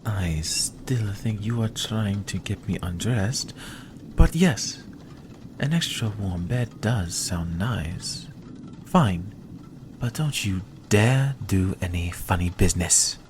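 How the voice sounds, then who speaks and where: Ancient vampire, acted: sly, guarded, low-voiced and unhurried, intimate ASMR roleplay delivery. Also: expressive, over music. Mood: grudging, wary. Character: sly, wary vampire, centuries old, possessive.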